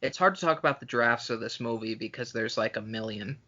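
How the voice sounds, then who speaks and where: A man's voice: medium pitch, a little fast, sharp tone, muffled recording. A man co-hosting a podcast, chatting casually about films in an emphatic, profane way. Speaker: podcast co-host.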